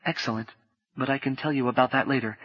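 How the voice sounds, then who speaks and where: A man reading an audiobook, voicing a quick, apologetic young woman who speaks in a hushed way. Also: medium pitch, steady tone, dull audio, natural voice. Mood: hushed, brisk. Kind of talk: audiobook character voice.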